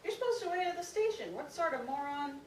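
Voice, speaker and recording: A woman's voice: high voice, expressive, quick pace, recorded from a distance, pitched above the performer's own voice. Woman as older male conductor, blunt, folksy, exclamatory storybook character, echoey noisy room. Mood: irritated. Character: gruff, flustered older male transit conductor.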